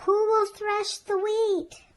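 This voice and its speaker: A woman voicing a busy, hopeful little mother hen in a storybook, calling out in a loud, drawn-out way. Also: very high voice, flat delivery, voice pushed up.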